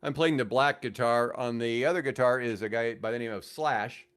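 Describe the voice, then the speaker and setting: A man's voice: medium pitch, moderate pace, a bit bright, clear, resonant tone. A man in his sixties with an American accent, chatting casually and telling stories as a podcast guest, with a wry, self-mocking, joking manner. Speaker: podcast guest, veteran radio host and guitarist. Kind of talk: casual storytelling chat.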